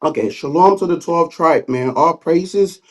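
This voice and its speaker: Rambling high-voiced male caller. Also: a little slow. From Liberia. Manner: rambling, with many fillers. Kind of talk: casual call-in comment.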